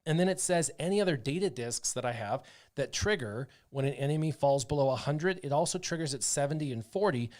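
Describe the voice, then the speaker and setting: A man casually talking through his game setup on a livestream, chatty and excitable, with lots of "um" and "like". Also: a little high, a little fast. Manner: chatty, excitable, full of fillers like "um" and "like". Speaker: streamer playing a mobile game.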